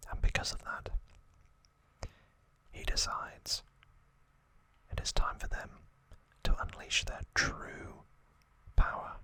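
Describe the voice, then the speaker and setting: A man hosting ASMR, giving a hushed, slow recap in short phrases in a breathy, unvoiced whisper. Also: no room sound, poor audio. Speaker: ASMR host.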